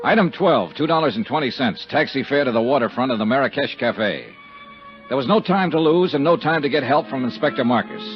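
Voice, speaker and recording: An actor playing a tough, cynical insurance investigator in a 1950s radio detective drama, with a hard-bitten, wry, clipped delivery. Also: male voice, mid-range voice, expressive, brisk, over music, natural voice. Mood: tense narration. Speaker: lead actor and narrator. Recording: muffled, hissy 1950s radio recording.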